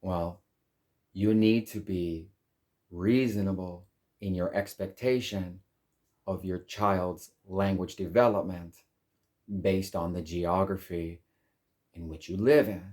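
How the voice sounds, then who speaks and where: A man whose first language is English, hosting his own channel and speaking calmly and deliberately in measured phrases. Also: deep voice. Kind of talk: slow reflective monologue.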